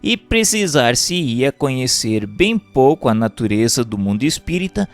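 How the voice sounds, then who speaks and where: A Brazilian man narrates a formal written text in a mid-range voice. His reading is measured and scripted, like a chapter of a treatise read aloud. Music plays behind him. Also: mid-range voice, sing-song. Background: background music.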